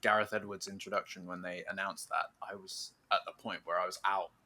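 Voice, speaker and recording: A man chatting casually as a podcast host about films, animated and enthusiastic in a rambling, fan-like way. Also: low voice, fairly quick. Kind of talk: casual film fan discussion.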